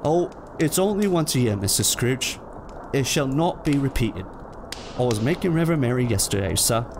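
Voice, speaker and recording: An actor playing a meek, apologetic office clerk, deferential and nervous, in a theatrical audio drama. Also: male voice, mid-range voice, lively intonation, moderate pace, noisy background, lightly acted.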